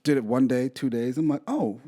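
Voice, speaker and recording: A man's voice: medium pitch, fairly quick, mellow. A man co-hosting a casual podcast, chatting in a rambling way with lots of restarts. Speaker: podcast co-host. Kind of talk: casual chat.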